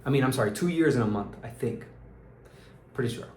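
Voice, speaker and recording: A man's voice: mid-range voice, fairly quick. A young man with an American accent talks through his evening video diary. He rambles, restarts his sentences and drops slang like dude and bro, and he sounds tired. The voice bounces around an echoey room over a faint bed of ambient noise. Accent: American English. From Peru. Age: young adult. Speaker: vlogger. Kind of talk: casual diary vlog.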